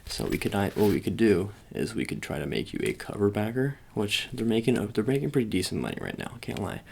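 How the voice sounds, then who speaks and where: A man's voice: fairly low pitch, very animated, brisk, vocal fry, slightly rough. A man doing an informal ASMR roleplay as an agent, improvising hesitantly with playful slang in a one-sided personal chat.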